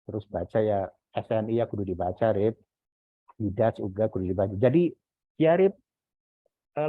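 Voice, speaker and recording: A man giving informal, didactic feedback as a university lecturer in an online class. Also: medium pitch. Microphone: video call. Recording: echoey, phone-like video-call audio.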